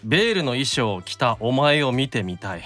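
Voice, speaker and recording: A man with a medium-pitched, very expressive voice is a guest on a radio show with light music behind him. He moves between casual chat and reading letters, in a polite, slightly bashful and good-humoured way. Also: normal speed. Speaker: podcast guest, voice actor.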